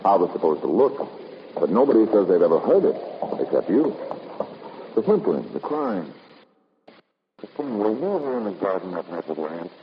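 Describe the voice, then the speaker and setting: An actor with an American accent playing a tough, sardonic investigator in a 1950s radio drama, clipped and accusing. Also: male voice, sits low, big pitch swings, moderate pace, long pauses. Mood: probing. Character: tough, wisecracking male private investigator. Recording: noisy, muffled 1950s radio recording.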